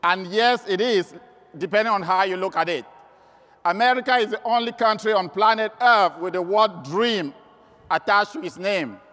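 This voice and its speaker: A man's voice: high-pitched, moderate pace, sharp tone. A man with a Cameroonian accent giving an emphatic motivational business training speech, repeating words for stress. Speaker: sales trainer and motivational speaker. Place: large indoor event hall. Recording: echoey hall, long reverb.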